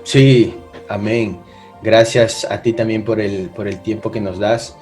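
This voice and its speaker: A man's voice: lowish voice, fairly even intonation, slow pace, a little mellow. Guy from the church asking the questions, friendly, kinda fills with "eh", bit of music in the back. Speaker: church interviewer. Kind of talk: interview questions.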